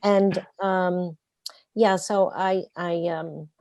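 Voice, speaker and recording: A woman's voice: fairly low pitch, steady tone, very slow, a little mellow, no room sound. A woman playwright chatting in an interview, telling wry, self-mocking stories from her life. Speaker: playwright guest. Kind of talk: conversational interview.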